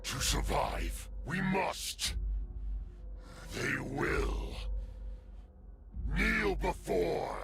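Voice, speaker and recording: Very slow, gravelly monster warlord. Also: male voice, high-pitched, animated, heavily acted. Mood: grim, menacing. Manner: slow, halting, declamatory war cries. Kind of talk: action game villain acting.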